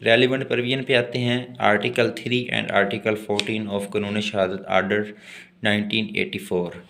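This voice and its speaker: A man with a Pakistani accent giving a law lesson, reading out and explaining in a deliberate, explanatory way. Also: lowish voice, little pitch movement, vocal fry, fairly bright tone, slight background noise. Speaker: law lecturer. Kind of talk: lesson, reading English legal text aloud and explaining it in Urdu.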